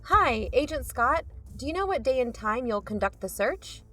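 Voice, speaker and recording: A woman's voice: high-pitched, big pitch swings, normal speed, bright, forceful tone, heavily acted. A woman playing a practical, cautious podcast co-host in a naturalistic audio drama.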